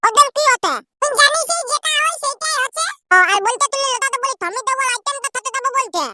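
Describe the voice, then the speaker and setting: A rowdy young village lad from a comedy cartoon, speaking in a Purulia accent. The voice is artificially pitched up to an extremely high, childlike squeak. He banters in brash, grumbling slang while music plays clearly behind him. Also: fast talker. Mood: brash, bantering. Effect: pitched-up voice filter. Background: loud music.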